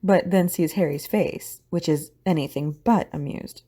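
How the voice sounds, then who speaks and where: A woman's voice: deep voice, unhurried, warm tone, breathy edge. A woman narrating an audio drama from a script as a storyteller.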